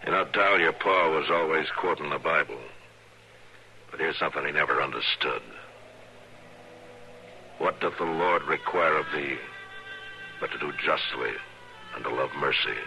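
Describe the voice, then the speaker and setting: Stoic Western lawman, American, deep gravelly voice, dry, watchful, old radio sound. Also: moderate pace, pauses often, pushed and bright, faint music behind, full character voice. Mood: solemn. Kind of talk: old-time radio western acting and narration. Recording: dull, hissy old radio recording.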